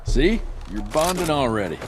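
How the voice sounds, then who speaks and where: An actor playing a sardonic, threatening vampire hunter, blunt and taunting. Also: male voice, mid-range voice, very animated, normal speed, music in the background, natural voice. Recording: loud background noise.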